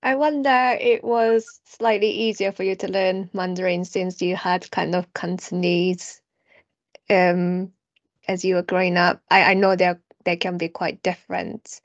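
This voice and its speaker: Woman host asking gentle questions, dull sound. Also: fairly low pitch, level tone, measured pace, no echo. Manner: gentle, hesitant questions with many backchannels. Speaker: podcast host. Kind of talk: casual interview.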